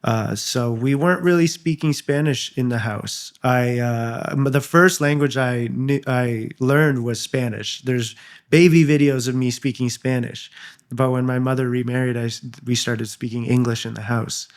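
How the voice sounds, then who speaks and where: A man's voice: medium pitch, steady tone, moderate pace. A young man from Canada talks as an interview guest in a loose, casual way. He stumbles, repeats words and leans on fillers as he thinks aloud. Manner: hesitant, many fillers and restarts.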